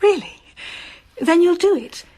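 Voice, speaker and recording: A woman's voice: very high voice, lively intonation, measured pace, dark tone. A woman playing an affectionate, eager, well-to-do mother in a radio drama, gushing politely.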